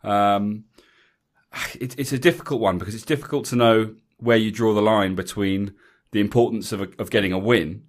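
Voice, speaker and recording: A man with an English accent runs a relaxed chat show, his voice sitting low. He talks in a loose, rambling way, circling back over his words and filling gaps with ums. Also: moderate pace. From England. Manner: chatty, rambling with frequent restarts and ums. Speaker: podcast host. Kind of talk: casual chat.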